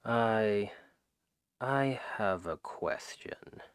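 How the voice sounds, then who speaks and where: A man playing a suave, menacing, possessive demon king who woos his captive with slow, deliberate, softly condescending speech in an ASMR roleplay, close on the microphone. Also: on the low side, clear, resonant tone. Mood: hushed, vulnerable. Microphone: close microphone, dry.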